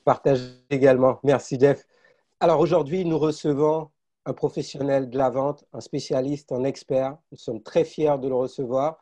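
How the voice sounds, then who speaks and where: Upbeat co-host with a mellow voice. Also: male voice, mid-range voice, steady tone, normal speed. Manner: upbeat, stumbles with repeated words. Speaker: podcast co-host. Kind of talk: hosting an interview.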